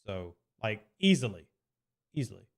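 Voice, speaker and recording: A man's voice: fairly low pitch, big pitch swings, normal speed. A man streaming live and answering chat questions in a casual, rambling way, often hedging with "I mean" and repeating his points.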